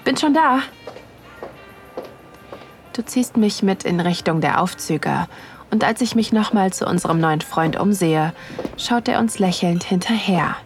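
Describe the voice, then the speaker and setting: A woman playing an excited, aroused wife who narrates an erotic audio drama in an intimate, breathy manner. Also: lowish voice, a little slow, pauses often, soft background music. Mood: playful, aroused.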